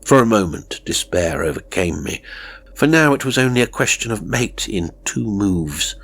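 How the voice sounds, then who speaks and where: Deep-voiced man narrating horror. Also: lively intonation, creaky, fairly bright tone. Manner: measured, suspenseful, theatrical delivery. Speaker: audiobook narrator. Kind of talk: dramatic horror story narration.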